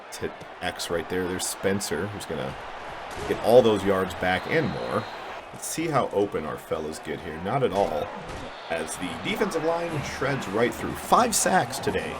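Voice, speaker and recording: Low-voiced man commentating a video game. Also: very animated, unhurried, background noise. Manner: mumbly, self-deprecating. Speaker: gaming channel host. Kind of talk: casual let's-play commentary.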